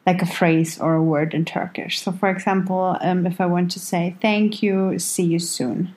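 A young woman interviewing a guest in relaxed chat, full of likes and honestlys. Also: on the low side, moderate pace. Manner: chatty, uses like and honestly a lot. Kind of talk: casual chat.

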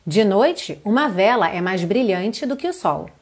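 A woman from Brazil teaching an online English lesson, reading lyrics slowly and carefully and then translating them. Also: medium pitch, animated, breathy edge.

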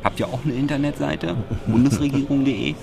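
A man's voice: mid-range voice, fairly quick, gravelly, noisy background. A man from Berlin co-hosting a podcast, recapping press conferences in a wry, sarcastic, rambling way. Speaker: podcast co-host.

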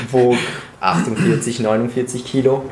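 Guy in his 20s from italy, podcast chat, creaky voice, echoey room w faint noise. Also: mid-range voice. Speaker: podcast co-host, calisthenics coach. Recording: roomy echo, faint background noise.

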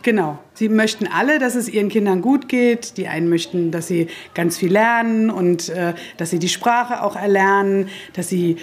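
A woman's voice: mid-range voice, normal speed, hardly any pauses, husky. A woman in her fifties who runs a daycare centre, giving rambling, self-correcting answers with a wry touch. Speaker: daycare director. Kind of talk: interview answers.

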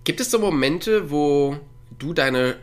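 A man co-hosting a relaxed conversation from home over a remote call. He rambles through his thoughts with plenty of fillers. He chuckles often as he talks. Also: fairly high pitch, slow pace. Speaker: podcast co-host. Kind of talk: casual chat. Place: at home, with a dog nearby.

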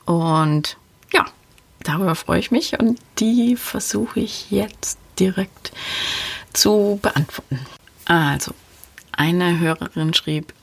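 A woman's voice: fairly low pitch, slow, creaky, breathy edge. A woman hosting a podcast, talking casually and thinking aloud as she answers listener questions. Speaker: podcast host.

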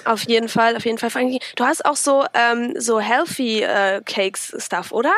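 A woman's voice: on the high side, normal speed, fairly bright tone, dry recording. Nasal woman host with a cold. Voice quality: slightly nasal. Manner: chatty, many fillers, enthusiastic. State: has a cold, a bit nasal. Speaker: podcast host. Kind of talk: casual podcast interview.

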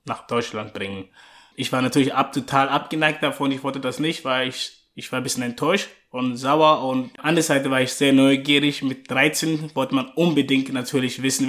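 A thirty-year-old man from Ghana, talking casually and a little haltingly as an interview guest, with a joking streak. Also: medium pitch, fairly bright tone. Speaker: interview guest, personal trainer. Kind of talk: casual personal storytelling.

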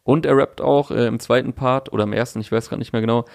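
A man's voice: mid-range voice, fairly even intonation, fast talker, gravelly, darkish tone, dry recording, clean studio sound. A young man in casual chat, talking through a music release with fillers and restarts. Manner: thoughtful, many fillers and restarts. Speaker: podcast co-host discussing music.

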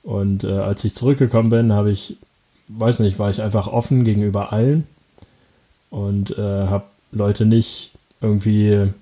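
A young man from Germany talks through his story in a relaxed interview, stopping and restarting often and breaking into short laughs. His voice sits low and dark, with very little rise and fall. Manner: halting, many fillers and restarts, laughs often. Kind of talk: casual interview answers.

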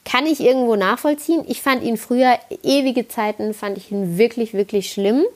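A woman's voice: a little high, moderate pace. A woman in her twenties from the Palatinate with a slight Palatinate German accent, hosting a podcast in a casual, self-ironic monologue that rambles with lots of restarts. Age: 20s. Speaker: podcast host. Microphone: podcast microphone.

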